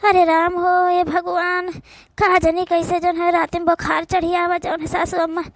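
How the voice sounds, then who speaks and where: A very high-pitched woman's voice with an Awadhi accent, recorded dry and close. She acts out village family drama for a cartoon, complaining in colloquial rural speech. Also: monotone, normal speed, no room sound. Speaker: cartoon voice performer.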